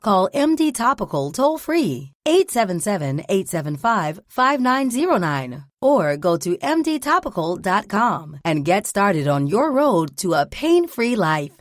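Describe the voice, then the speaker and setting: A woman's voice: mid-range voice, very animated, measured pace, hardly any pauses, no room sound, clean studio sound. A woman announcer reading a scripted radio commercial. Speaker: advertisement announcer.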